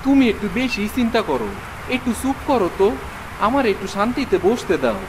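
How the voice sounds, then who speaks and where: A man's voice: extremely high pitch, big pitch swings, brisk, background noise. A man playing a poor, weary village husband in a cartoon, speaking plaintive, humble rural Bangladeshi Bengali. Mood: weary, annoyed. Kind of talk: cartoon dialogue acting.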